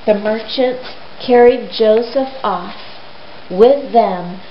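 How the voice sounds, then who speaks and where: A woman storyteller retelling a tale informally for children, conversational and expressive, often posing rhetorical questions. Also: on the high side, takes it slowly. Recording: roomy, dull lo-fi, faint hiss.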